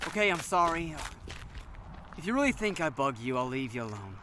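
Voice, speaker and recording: Man playing a bright-voiced, teasing young electrician. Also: on the high side, very animated, brisk, a little hiss or hum. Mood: concerned. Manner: upbeat, joking, friendly. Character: playful, teasing young male electrician friend. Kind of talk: naturalistic audio drama acting.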